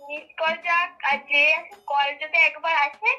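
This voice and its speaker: A woman, arguing on a phone call in a scolding, sharp-tongued, assertive way. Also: high voice, fairly even intonation, moderate pace. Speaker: prank call recipient. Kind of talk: casual phone argument. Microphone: telephone call. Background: music.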